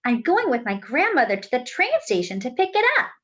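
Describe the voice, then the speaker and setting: A woman's voice: high voice, very animated, fairly quick, fairly bright tone, lo-fi sound, full character voice. A woman voicing an eager, devoted young boy in a storybook, earnest and exclaiming. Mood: excited, hopeful. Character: eager, devoted young boy who loves his turkey.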